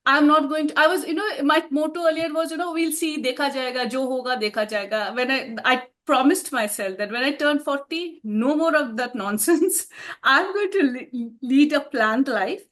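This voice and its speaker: A woman's voice: high voice, normal speed, fairly bright tone. A woman, an author answering interview questions in a reflective way and laughing often. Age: 50s.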